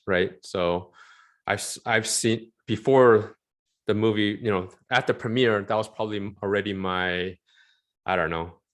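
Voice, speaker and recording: A man in his forties with a low voice talks casually as an interview guest. He rambles in an animated way and laughs often. He grew up in Southern California. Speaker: interview guest, film concept artist.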